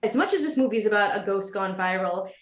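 A woman's voice: mid-range voice, animated, quick pace, roomy sound. A woman interviewer asking enthusiastic questions over a video call from a room at home. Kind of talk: press junket questions.

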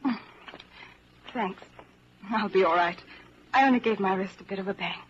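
A guarded young woman in a vintage radio drama, played by an actress. She speaks hesitantly at a medium pitch, and the old broadcast is dull and noisy. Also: normal speed, natural voice. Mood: pained, guarded. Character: guarded young dark-haired woman, a party comrade. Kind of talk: 1940s radio acting.

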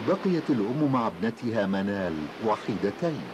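A man's voice: lowish voice, big pitch swings, fairly quick. A man narrating a children's cartoon tale in formal Arabic as a solemn storyteller. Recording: noisy, hissy old cartoon soundtrack.

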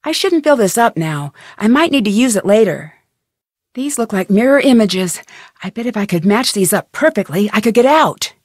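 A woman with an American accent playing a plucky, curious teenage girl detective in a video game, thinking aloud in a clear, chipper way. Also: mid-range voice, sing-song, normal speed, dry recording, clean studio sound. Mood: thoughtful, determined. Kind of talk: scripted video game voice lines.

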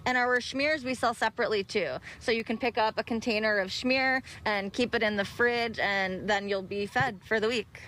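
A woman's voice: on the high side, moderate pace, few pauses, a bit bright, a little hiss or hum. A young woman who runs a bagel business, speaking outdoors into a handheld mic during a live TV interview, upbeat as she explains her menu. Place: outdoor park by the ocean. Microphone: handheld reporter mic.

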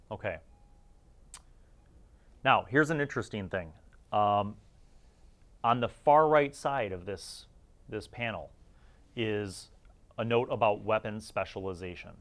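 A man hosting a retro tabletop gaming review, mixing read-aloud excerpts with informal, chatty commentary. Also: on the low side. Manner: informal, slangy, chatty asides.

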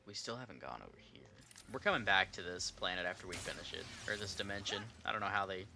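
A man's voice: low-pitched, animated, quick pace, creaky, background noise. A young man on a headset mic, casually commenting while he plays a game and jokingly mimicking its lines. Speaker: streamer. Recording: game music under voice. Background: game music.

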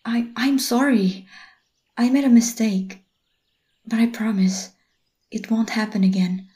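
A clingy, obsessive young boyfriend, as a woman whispers him in an ASMR roleplay. His soft, breathy whisper is slow and mellow, and he stammers and pleads as he goes. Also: on the high side, close to an everyday voice. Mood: desperate pleading.

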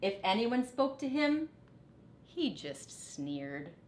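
A middle-aged woman reading a children's picture book aloud deliberately and expressively in a living room. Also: on the high side, big pitch swings, echoey room. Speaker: storyteller, teacher. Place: living room, indoors on a couch.

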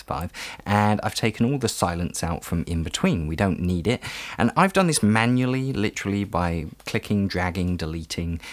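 A man narrating a casual audio production tutorial, explaining things in an informal, chatty way. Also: lowish voice.

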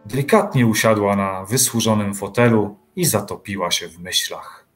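A man's voice: lowish voice, moderate pace. A man reading scripted literary narration as the narrator of an audio drama. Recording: music behind the voice.

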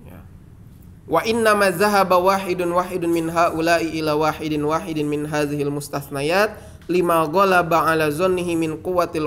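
A man's voice: fairly high pitch, fairly bright tone. An Indonesian man teaching Islamic jurisprudence, reading Arabic lines aloud and then explaining them in plain words, with a lot of repetition. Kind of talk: explaining and translating a classical Arabic text.

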